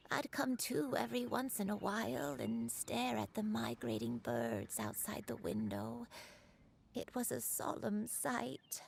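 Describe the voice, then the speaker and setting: An actress playing a gentle, forgetful elderly grandmother in a video game, telling things in a slow, wistful way. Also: mid-range voice, creaky, a little hiss or hum. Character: frail elderly granny, gentle, forgetful and self-blaming. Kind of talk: scripted video game dialogue.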